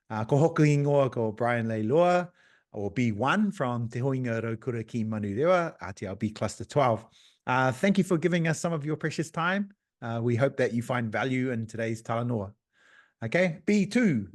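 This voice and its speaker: A man's voice: medium pitch, animated, normal speed, a little mellow. A man hosting a podcast, opening the show and joking along with the guest. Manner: friendly, laughs along. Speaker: podcast host.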